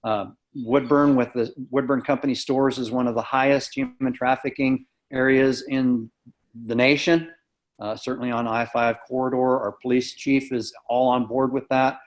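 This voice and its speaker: A man's voice: medium pitch, level tone, normal speed, rough recording. An American man giving an informal, matter-of-fact report over an online conference call. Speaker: community task force member. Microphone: video call microphone.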